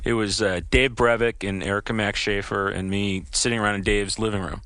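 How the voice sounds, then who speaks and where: A man's voice: lowish voice, fairly even intonation, a little fast, sharp tone, no room sound. Eager male game developer, dry close mic. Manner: enthusiastic storyteller, many "you know" fillers. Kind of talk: casual interview chat.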